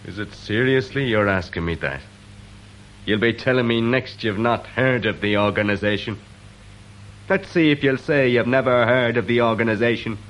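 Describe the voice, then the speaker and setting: An actor playing a proud, fatalistic Irish republican captive in a radio drama, speaking in a theatrical way with an Irish accent. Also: male voice, medium pitch, moderate pace, a bit bright, slight background noise, natural voice. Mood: defiant, indignant. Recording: dull lo-fi old radio recording.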